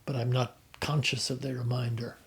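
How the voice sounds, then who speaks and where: An older man with an Irish accent, talking about his life at an unhurried pace, often pausing to restart his sentences. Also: medium pitch, little pitch movement, gravelly. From Ireland. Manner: hesitant, with many restarts and fillers. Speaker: interviewee. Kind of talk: reflective conversational storytelling.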